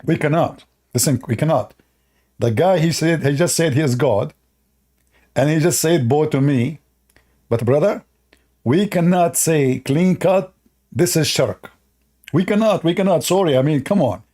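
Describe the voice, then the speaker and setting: A man's voice: on the high side, measured pace, long pauses, dark tone. A man hosting a reaction video, sarcastic and laughing often as he mocks a clip, speaking in broken English. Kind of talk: mocking reaction commentary.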